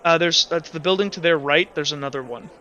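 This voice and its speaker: Bright-voiced man running a tabletop game. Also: on the high side. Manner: rambling, halting with many fillers. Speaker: game master. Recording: dull lo-fi mic.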